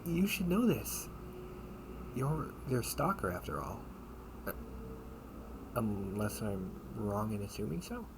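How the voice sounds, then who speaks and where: Noisy home-recorded audio roleplay: male actor as menacing big-brother captor, slightly low voice, mocking, casual, protective. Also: very animated, heavily acted. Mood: sardonic. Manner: casual, halting, mocking, breathy asides. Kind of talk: amateur audio roleplay acting, one-sided dialogue.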